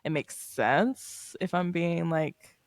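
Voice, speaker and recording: A woman's voice: lowish voice, slow pace, gravelly, dry recording, studio quality. A woman from Tanzania co-hosting a podcast, chatting casually, laughing easily and speaking frankly. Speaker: podcast co-host. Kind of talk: casual chat.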